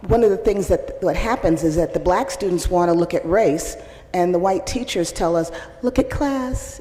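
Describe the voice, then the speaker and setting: A woman's voice: fairly low pitch, dark tone. Earnest young woman asking from the floor. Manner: earnest, searching, rising questions. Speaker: audience member asking a question. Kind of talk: question from the floor. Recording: roomy, echoey hall reverb.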